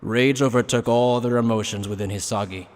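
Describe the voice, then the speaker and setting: A man's voice: mid-range voice, steady tone, a little fast. A man reading scripted, dramatic third-person narration for an anime fan dub. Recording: reverberant, slightly noisy recording.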